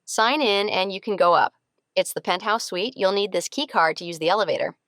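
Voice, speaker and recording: An actress plays a female spa receptionist in an audio drama. Her voice is medium in pitch, recorded dry and close. She is polite and cautious. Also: a little fast, fairly bright tone, no echo, close to an everyday voice. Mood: polite, hesitant.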